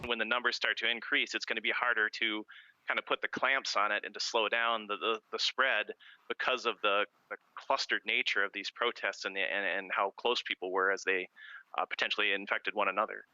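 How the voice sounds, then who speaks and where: A man answering as an expert in an unscripted news interview, halting and repeating words. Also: on the low side, little pitch movement, sharp tone, no room sound, highs cut off.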